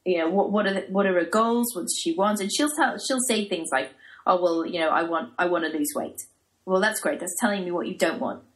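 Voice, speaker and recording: Croaky, direct Australian woman. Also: on the low side. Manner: direct, emphatic. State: croaky, losing her voice. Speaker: fitness coach guest. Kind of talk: casual podcast chat.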